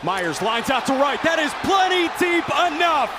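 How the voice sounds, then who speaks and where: A man in his twenties giving excited live baseball play-by-play as a radio announcer. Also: extremely high pitch, normal speed. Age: 20s. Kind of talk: excited live sports commentary. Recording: noisy ballpark broadcast, loud roaring crowd. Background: loud stadium crowd.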